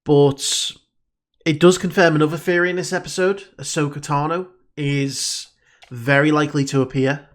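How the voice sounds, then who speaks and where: A man hosting a reaction video, talking in a loose, musing way full of ums. His nose sounds stuffed up, and his voice sits a little high for a man. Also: level tone, moderate pace. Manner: musing, rambling with frequent ums. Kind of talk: casual reaction commentary.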